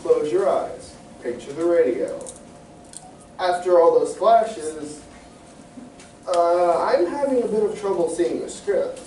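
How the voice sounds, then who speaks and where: Young man as high-voiced, swooping formal radio announcer. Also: lively intonation, slow pace, warm tone, distant mic. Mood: wry, instructing. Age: young adult. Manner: formal, long-winded. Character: long-winded, formal radio show announcer. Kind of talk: scripted comic stage announcement. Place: live stage in a community playhouse. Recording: echoey hall from afar, faint noise.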